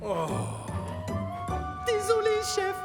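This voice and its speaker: A woman's voice: very high-pitched, huge pitch swings, extremely slow, over loud music, exaggerated voice. A woman voicing a timid, gullible little workshop crane, hesitant and flustered, in a French cartoon dub. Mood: sheepish.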